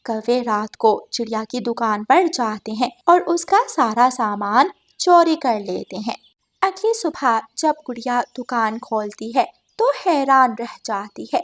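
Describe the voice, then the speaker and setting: High-voiced woman narrating a children's story. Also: expressive, unhurried.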